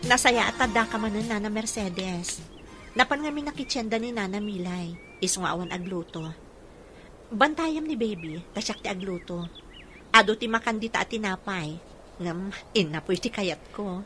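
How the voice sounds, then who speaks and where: A woman's voice: medium pitch, expressive, moderate pace, a bit bright, faint music behind, close to an everyday voice. A woman with a Filipino accent playing a practical, agreeable young mother in a radio drama.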